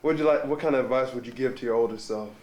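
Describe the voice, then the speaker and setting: Man, interviewer, rapid questions, roomy recording. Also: medium pitch, a little mellow. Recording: echoey room, ambient noise.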